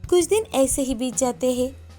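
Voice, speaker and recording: A woman's voice: high-pitched, normal speed, mellow, soft background music. A woman narrating a scripted moral story as storyteller.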